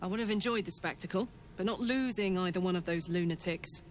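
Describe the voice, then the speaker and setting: A man's voice: sits high, a little fast, a little hiss or hum, natural voice. An actor playing a flippant, gleefully bloodthirsty male dandy adventurer in a video game, arch and theatrical. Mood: amused, sardonic.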